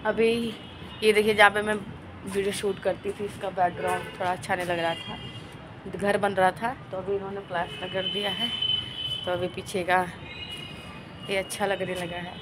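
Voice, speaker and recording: Hoarse young woman vlogging on her phone. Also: mid-range voice, level tone, moderate pace, background noise. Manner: chatty, walking and filming herself while doing chores. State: hoarse sore throat. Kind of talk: casual vlog chat. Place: home kitchen and open rooftop. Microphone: phone held at arm's length.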